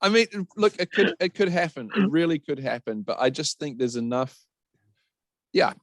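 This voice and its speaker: A man's voice: on the high side, expressive, normal speed, dry recording. A man giving his opinions in casual, emphatic, sweary podcast chat. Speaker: podcast panellist.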